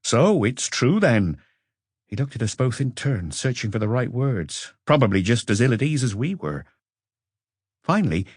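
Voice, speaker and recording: A man reading a literary story as an audiobook narrator, with expressive scripted delivery. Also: mid-range voice, slightly rough, dry recording, studio quality.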